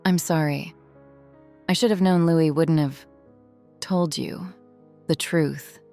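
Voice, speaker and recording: A woman playing a haunted, protective former street criminal in a drama, speaking in an earnest, halting, confessional way. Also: low voice, fairly even intonation, dark tone, soft background music. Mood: weak, pained. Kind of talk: dramatic audio drama acting.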